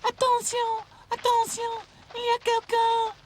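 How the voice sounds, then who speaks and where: A man voicing an excitable little French girl doll who speaks with a French accent and sounds breathless and pleading. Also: very high-pitched, unhurried, heavy background noise, voice pushed up. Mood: desperate.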